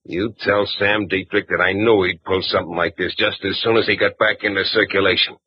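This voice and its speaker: A gruff radio-play thug, deep and raspy, curt menace, dry muffled sound. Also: male voice, fairly even intonation, a little fast, no echo. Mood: menacing. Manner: curt, threatening, sneering. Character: menacing male crook holding up a detective. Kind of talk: 1940s radio acting. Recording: dull old radio recording.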